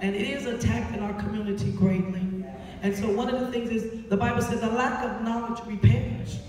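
A woman's voice: on the high side, steady tone, fairly quick, a little mellow. A middle-aged woman hosting on a theater stage, giving a live speech to introduce and thank a cast through a handheld microphone. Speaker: stage play director and host. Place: theater stage, indoors, filmed from the audience. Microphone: handheld microphone through PA, picked up by distant camera. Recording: echoey hall from far off, crowd and music.

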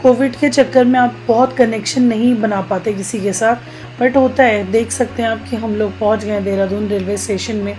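A woman's voice: on the high side, steady tone, a little fast, a little mellow, soft background music, rough recording. A woman in her thirties from Uttarakhand, India, narrating a casual vlog in a chatty way, talking directly to viewers. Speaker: travel vlogger.

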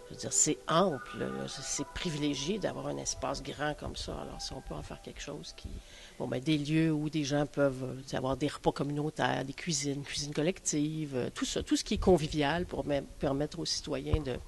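Gravelly Québécois man. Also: a little high, fairly even intonation, normal speed, faint music behind. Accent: Québécois French. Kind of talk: vox pop interview.

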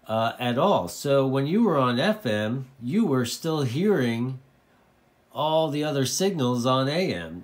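A man informally explaining and demonstrating a product, repeating phrases for emphasis. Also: medium pitch. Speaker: presenter of a radio tutorial. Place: indoors at a desk.

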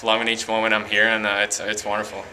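A young man from Latvia with a Latvian accent, a college hockey player giving a halting post-game interview full of fillers and restarts in an arena hallway. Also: lowish voice, completely flat delivery, sharp tone, poor audio. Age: young adult.

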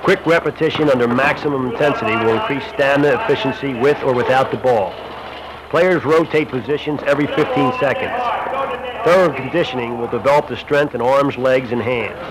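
A man's voice: medium pitch, brisk. A man reading scripted narration for an instructional basketball film, as a shooting instructor. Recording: noisy old Super 8 film soundtrack.

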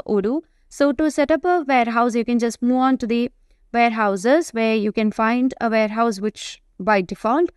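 High-pitched woman narrating a software tutorial. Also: smooth, dry recording, studio quality. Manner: explanatory, halting delivery with frequent hesitations. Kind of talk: screen-recorded tutorial narration, semi-improvised.

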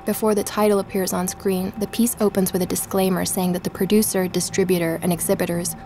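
An actress playing a curious woman investigator who hosts a podcast in an audio drama, speaking in a measured, explanatory way. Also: fairly low pitch, little pitch movement, mellow, slight background noise, close to an everyday voice. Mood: reflective. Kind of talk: scripted documentary-style podcast narration.

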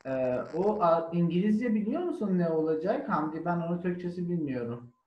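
A man's voice: high voice, lively intonation, normal speed, a little hiss or hum. A man teaching a language online, patiently repeating and correcting words over a video call. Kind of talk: slow online lesson with prompts and corrections. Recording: dull, roomy online call.

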